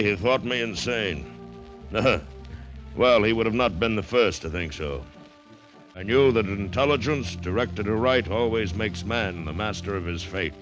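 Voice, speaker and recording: A man's voice: fairly low pitch, close to an everyday voice, rough recording. A man acting an arrogant, defiant plantation owner in 1940s American radio drama style, pompous, emphatic and theatrical. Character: arrogant, defiant male plantation owner, coolly confident of his intellect. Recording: old radio recording with music.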